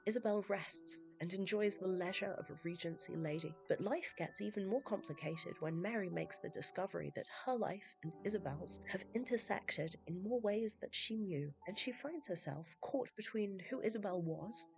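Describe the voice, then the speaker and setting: A woman's voice: mid-range voice, moderate pace, vocal fry, hardly any pauses, muffled recording, faint music behind. A woman hosting a podcast, sounding congested and nasal from illness, mixing a read summary with chatty, rambling asides. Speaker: podcast host. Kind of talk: partly scripted podcast monologue.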